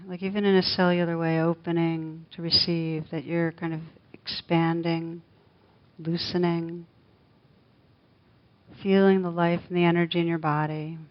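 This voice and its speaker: Female meditation teacher, low even delivery, gentle and unhurried; dull lo-fi recording. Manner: slow, soft, gentle guidance with long pauses. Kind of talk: guided meditation.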